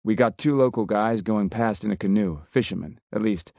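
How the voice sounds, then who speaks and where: Self-assured Colombian colonel, voiced by a man narrating; low, polite, dry close sound. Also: moderate pace, darkish tone, dry recording, lightly acted. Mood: confident. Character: smiling male Colombian colonel, smooth and confident.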